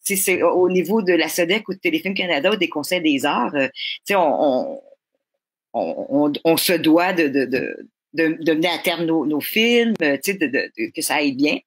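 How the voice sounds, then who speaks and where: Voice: medium pitch, expressive, moderate pace, breathy edge. A panel guest with a Québécois French accent, talking casually and stammering over repeated words.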